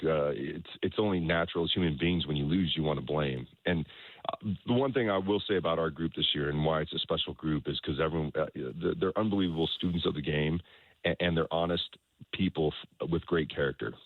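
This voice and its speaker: A man speaking as a soccer coach in an interview, explaining things in a halting way, repeating words and often checking in with the listener. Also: sits low. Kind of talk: conversational sports interview, explaining his coaching philosophy. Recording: roomy echo, dull lo-fi sound.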